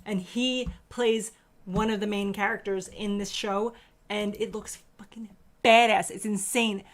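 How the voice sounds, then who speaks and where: Podcast co-host, woman, New York accent, blunt and excitable, swears easily. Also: medium pitch, a little slow. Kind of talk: casual chat.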